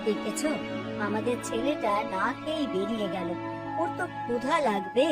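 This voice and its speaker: A woman plays an aging village mother in a cartoon, gentle and self-sacrificing. She pleads softly and plaintively, with a lively, high melody, over music. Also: moderate pace, close to an everyday voice. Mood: worried, sorrowful. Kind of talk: cartoon dialogue acting.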